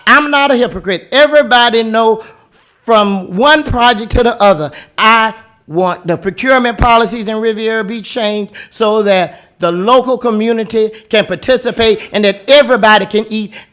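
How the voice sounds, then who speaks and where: A man giving a passionate, emphatic, loud speech as a board member at a public city meeting. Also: sits high. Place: council chamber.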